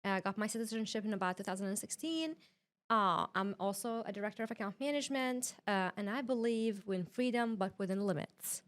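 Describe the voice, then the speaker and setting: A woman's voice: mid-range voice, brisk. A woman from Iraq speaking as a podcast guest, explaining things in a casual, loosely phrased way. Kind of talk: casual interview answers.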